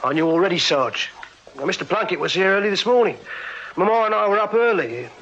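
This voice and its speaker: Earnest young strongman, higher-pitched voice. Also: expressive, fairly quick, fairly bright tone, dull audio, heavily acted. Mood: guarded, earnest. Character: plain-spoken young Victorian music-hall strongman, earnest. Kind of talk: full-cast radio drama acting.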